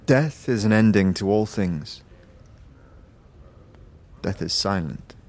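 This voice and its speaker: A slow, bleak young man's voice as a male actor plays him, a somber initiate in a fantasy drama who yearns for death. The low tone sinks at phrase ends and the delivery is detached and halting. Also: lively intonation, a little mellow, heavily acted. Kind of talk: fantasy audio drama dialogue.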